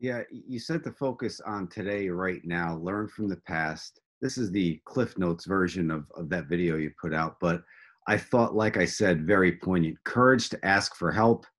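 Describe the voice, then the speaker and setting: A middle-aged man with a low-pitched voice hosts an interview over a video call. His delivery is conversational, as he sums up points for his guest. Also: normal speed, fairly bright tone. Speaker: interview host. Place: home room, indoors.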